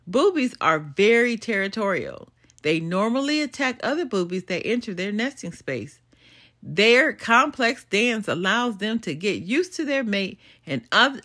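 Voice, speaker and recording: An older woman reading a children's nonfiction book aloud as a storytime host, in an expressive, deliberate way. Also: mid-range voice. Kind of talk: storybook read-aloud narration.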